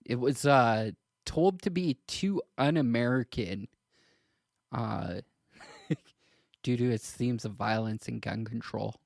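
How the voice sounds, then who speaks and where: A man co-hosting a podcast in casual discussion, speaking hesitantly in halting, trailing clauses. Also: mid-range voice, gravelly, no echo, clean studio sound. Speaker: podcast co-host.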